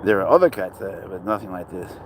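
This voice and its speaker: A man's voice: deep voice, expressive, fast talker, background noise. A man who grew up in Spain, hosting a casual livestream, rambling as he reads out and answers viewers' comments. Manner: chatty, rambling, answers chat questions aloud. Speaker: livestream host.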